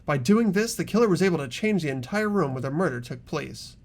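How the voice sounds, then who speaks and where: Man playing an earnest, highly animated schoolboy detective. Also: brisk. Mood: earnest, thoughtful. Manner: tentative, reasoning aloud. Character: earnest, reasoning teenage boy student detective. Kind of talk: amateur game dub acting.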